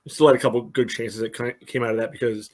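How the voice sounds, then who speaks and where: A man's voice: medium pitch, steady tone, fast, darkish tone. A man co-hosting a soccer podcast, giving casual game analysis peppered with filler phrases. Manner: peppers talk with "you know".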